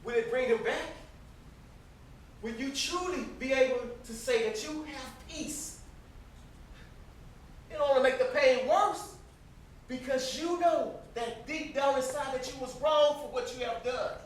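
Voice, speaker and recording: A man's voice: very high voice, unhurried, pauses often. A young man acting an anguished, conscience-stricken brother in a stage play, earnest and pleading. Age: young adult. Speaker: student stage actor. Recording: distant, very echoey, noisy stage recording.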